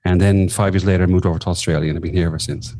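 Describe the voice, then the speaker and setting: Deep-voiced Irish man telling his story. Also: steady tone, rapid-fire, dark tone, dry recording. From southwest of Ireland. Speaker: podcast guest, former nurse and coach. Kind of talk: conversational personal storytelling.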